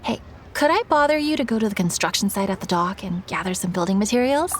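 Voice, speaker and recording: A woman playing a kindly, responsible townswoman in a video game, speaking gently and politely in a motherly way. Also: mid-range voice, lively intonation, fast talker, slight background noise, natural voice. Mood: polite, grateful. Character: kindly, responsible young village woman minding a little girl. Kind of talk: video game character acting.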